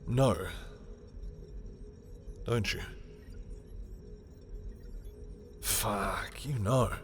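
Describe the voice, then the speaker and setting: A man playing a lovestruck, bitter villain in an intimate audio roleplay, speaking in a halting, emotional way. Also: fairly low pitch, faint music behind. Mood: guarded, flustered. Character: lovestruck, bitter male villain confessing to a rival.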